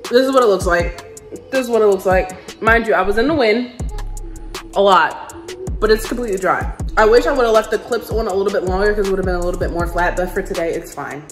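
A woman's voice: mid-range voice, brisk, over music. Chatty woman vlogging in an echoey kitchen. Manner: chatty and animated, talks to camera with hand gestures. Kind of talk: casual day-in-the-life vlog. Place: home kitchen, indoors. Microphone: camera on a stand, a few feet away.

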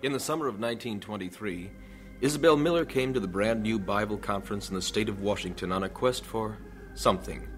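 A man narrating a radio drama in a measured, steady way. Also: lowish voice. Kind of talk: scripted narration. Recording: faint music underneath.

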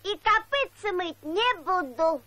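A woman's voice: expressive, slow, pushed and bright, dry recording, exaggerated voice. A woman voicing a stubborn, cheeky little boy donkey in a children's cartoon, with a childlike, defiant delivery. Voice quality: high childlike voice. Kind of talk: animated children's cartoon acting.

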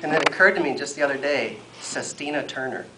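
A man's voice: medium pitch, lively intonation, fast. Man reading poetry in a noisy room. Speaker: poet reading at an event. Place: wood-furnished library room, at a lectern. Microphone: camera a few metres away. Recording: noisy room recording from a camera.

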